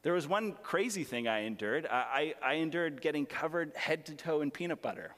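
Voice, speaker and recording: A young male pastor delivers his sermon in a raspy voice that sits a little high. He is earnest but conversational, poking fun at himself. His words ring with the long reverb of a large hall. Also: animated, fairly quick, a bit bright. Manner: earnest, self-deprecating, conversational with humor. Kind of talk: sermon with personal stories.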